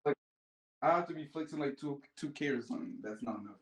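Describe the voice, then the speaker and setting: A man's voice: mid-range voice, lively intonation, quick pace, slightly rough, poor audio. A man from the United States in casual, slangy video-chat talk, often repeating his phrases. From Charleston, United States. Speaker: stranger on a random video chat.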